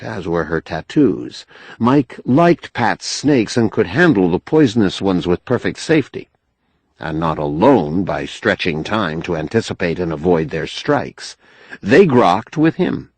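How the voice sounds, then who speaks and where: A man narrating a novel as an audiobook in a measured, expressive storytelling manner. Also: sits low, slightly rough, dry recording. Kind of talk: scripted literary narration.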